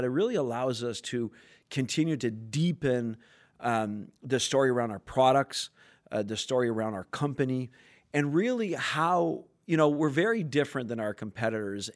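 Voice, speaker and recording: A man of middle pitch answers podcast questions as a sales executive. He speaks earnestly and with enthusiasm, full of corporate phrasing and some filler words.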